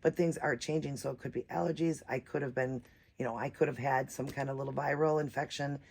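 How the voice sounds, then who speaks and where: A woman with a naturally raspy voice, a bit hoarse from a cold, chatting casually as she hosts a doll unboxing vlog. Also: rumbling low, fairly even intonation, fairly quick, a little mellow. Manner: chatty, rambling, many fillers. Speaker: reborn doll channel host.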